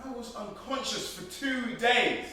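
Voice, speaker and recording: A man acting a young male prisoner in a stage play, bitter, sarcastic, defiant and quick to swear. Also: extremely high pitch, lively intonation, pushed and bright, full character voice. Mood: sarcastic, exasperated. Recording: very echoey room, distant mic, hiss.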